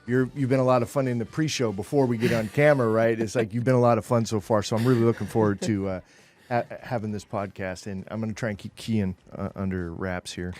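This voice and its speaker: A man's voice: on the low side, steady tone, fast talker, a little mellow, soft background music. A man hosting a business podcast in a studio, upbeat and conversational, with fillers like "it's like". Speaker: podcast host. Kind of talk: casual interview hosting.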